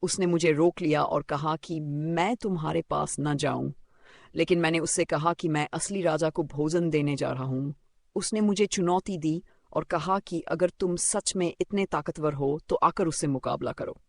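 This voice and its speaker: A small, quick-witted storybook rabbit, voiced by a man, courteous on the surface and sly underneath. The voice sits fairly high with a creaky edge, recorded dry. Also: dry recording. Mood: feigned humility. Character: small clever male rabbit, confident, cunningly humble.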